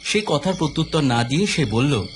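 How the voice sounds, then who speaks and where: A man narrating a scripted audio story in an expressive, suspenseful, dramatic storytelling style. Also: on the high side, a little fast. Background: clear background music.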